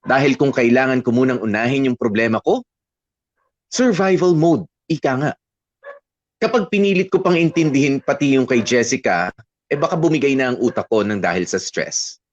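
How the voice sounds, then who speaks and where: A man's voice: mid-range voice, lively intonation, normal speed, dull audio, lightly acted. An actor narrating as a young man recounting his breakup in a radio drama, candid and weary. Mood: reflective.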